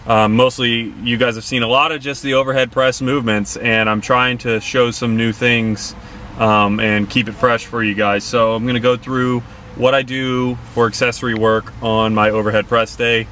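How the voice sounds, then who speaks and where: A young man in his twenties, talking casually and quickly to the camera held at arm's length, vlogging about his workout. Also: mid-range voice, flat delivery, bright, forceful tone, slight background noise. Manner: quick, chatty, loose with fillers. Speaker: fitness vlogger. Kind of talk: casual vlog narration. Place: in a car, then gym voice-over, then kitchen.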